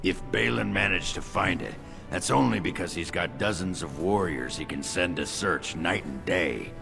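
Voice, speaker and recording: An actor playing a crude, boastful, drunken dwarf warrior in a fantasy video game, gruff and gravelly. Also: male voice, low-pitched, normal speed. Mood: impatient. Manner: gruff, coarse. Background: game music.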